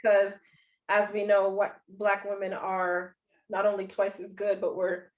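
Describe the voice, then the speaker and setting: A woman's voice: mid-range voice, completely flat delivery, a little slow, rough recording. Woman interview host, reflective and supportive, lays out long questions. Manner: reflective, frames questions at length with supportive affirmations. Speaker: podcast host. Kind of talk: interview conversation.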